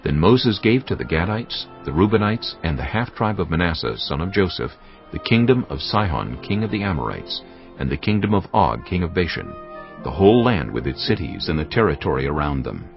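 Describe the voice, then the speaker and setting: A man narrating scripture in a steady, measured storytelling voice. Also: sits low. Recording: dull lo-fi recording with music behind.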